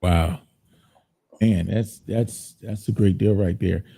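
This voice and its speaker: Very dark-voiced man hosting. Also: deep voice, fairly even intonation, slow, gravelly, husky. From United States. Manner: laid-back, chatty, repeats words as he thinks. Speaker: podcast host. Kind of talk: casual interview chat.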